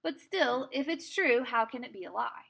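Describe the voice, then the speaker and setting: A woman's voice: a little high, lively intonation, normal speed, vocal fry, fairly bright tone, breathy edge, heavily acted. A woman voicing a mischievous, carefree little girl in a storybook, playful and exclamatory. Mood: argumentative, cheeky.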